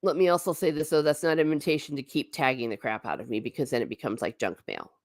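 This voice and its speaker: Low-voiced woman chatting on a livestream. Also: fast talker, dry recording, clean studio sound. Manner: chatty and blunt, with frequent asides and restarts. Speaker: livestream host. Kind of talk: casual livestream chat, reading viewer comments.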